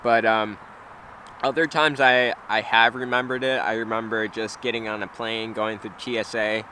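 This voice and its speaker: Young man, casual slangy vlogger, hesitant, bright edgy tone, faint street noise behind. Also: fairly low pitch, level tone, moderate pace. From United States (Wisconsin). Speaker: travel vlogger. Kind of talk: casual unscripted vlog chat.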